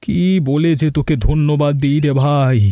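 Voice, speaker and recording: A man's voice: a little high, flat delivery, normal speed, mellow, close to an everyday voice. A man playing a helpless, lazy young newlywed in a cartoon. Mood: troubled. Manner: pleading, plaintive.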